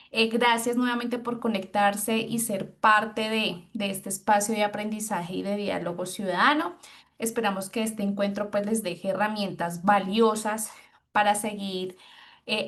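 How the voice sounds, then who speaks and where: A woman, hosting a webinar with a semi-formal welcome address. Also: medium pitch. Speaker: webinar host.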